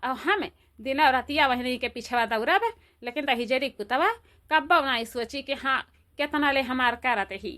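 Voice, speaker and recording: A woman voicing an excitable, complaining, gossipy village woman in a cartoon, exclaiming and repeating herself in an Awadhi accent. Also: high-pitched, normal speed. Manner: repetitive, exclamatory. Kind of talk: amateur cartoon voice acting.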